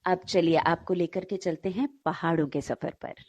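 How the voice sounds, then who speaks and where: A woman co-hosting and telling stories to listeners in an unhurried way. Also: fairly low pitch, fairly even intonation, darkish tone, no room sound. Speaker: co-host and storyteller.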